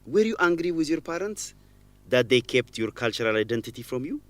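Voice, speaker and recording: A man's voice: mid-range voice, sing-song, brisk, no room sound, lightly acted. A man acting a serious, direct young student in a radio drama. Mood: curious. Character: serious, guarded young Ethiopian student, an orphan.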